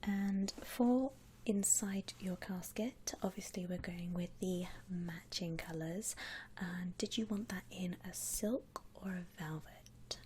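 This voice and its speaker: A woman softly whispering in an ASMR roleplay as a polite, reassuring shop assistant helping a customer. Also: fairly low pitch, slow, a little hiss or hum. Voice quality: soft, breathy whisper. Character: courteous, obliging female coffin saleswoman.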